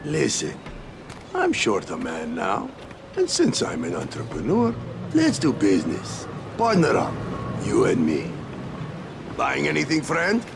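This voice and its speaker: An actor playing a shady middle-aged hustler in a video game, brash and cynical, with a foul-mouthed dealmaker's patter. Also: male voice, medium pitch, wildly swooping pitch, measured pace, creaky, pauses often, background noise, full character voice. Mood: salesman-like, scheming. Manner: foul-mouthed, wheeling-and-dealing talk. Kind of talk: video game cutscene acting.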